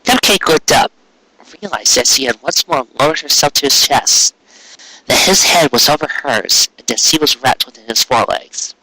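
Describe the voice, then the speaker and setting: A man's voice: mid-range voice, lively intonation, moderate pace, sharp tone, rough recording. A man narrating a written story expressively, with earnest, dramatic phrasing. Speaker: story reader.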